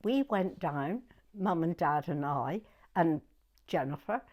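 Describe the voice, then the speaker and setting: A very old woman with a southern English accent shares memories in a chatty oral history interview. Her pitch moves up and down with great animation, while her pace stays slow. She often doubles back and repeats her words. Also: animated, a little mellow. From southern England. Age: 90s. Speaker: interviewee recalling her youth.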